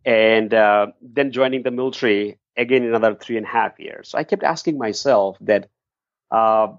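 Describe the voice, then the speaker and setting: A man's voice: fairly low pitch, moderate pace, gravelly, dry recording. A middle-aged man from Bangladesh, telling his story earnestly as an interview guest. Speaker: guest, nonprofit founder. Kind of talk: interview answers. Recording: dry, slightly muffled lo-fi sound.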